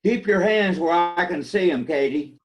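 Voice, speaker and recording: A man's voice: high voice, animated, moderate pace, dull audio, soft background music. A man playing a folksy, cautious small-town lawman in an amateur readers theater mystery. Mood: stern.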